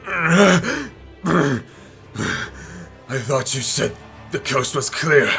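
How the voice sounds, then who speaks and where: Stern, forceful commanding officer played by a man. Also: on the high side, expressive, drawn-out words, gravelly, soft background music, exaggerated voice. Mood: angry, pained. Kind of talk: fantasy audio drama.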